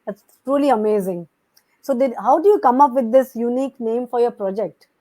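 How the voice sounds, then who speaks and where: A woman's voice: a little high, expressive, brisk, dark tone. A woman hosting a chat show interview, effusive in her praise and backchanneling often. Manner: effusive, constant "hmm" backchannels.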